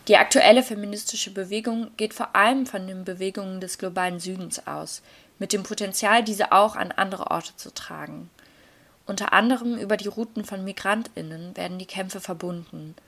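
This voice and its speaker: Woman, average pitch, formal explanatory narration, scripted documentary reading. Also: normal speed, fairly bright tone.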